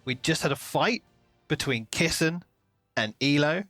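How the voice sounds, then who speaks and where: A man's voice: a little high, expressive, a little slow, fairly bright tone, no room sound, studio quality, faint music behind. A man co-hosting a podcast, chatting informally and thinking aloud as he discusses a book. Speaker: podcast co-host.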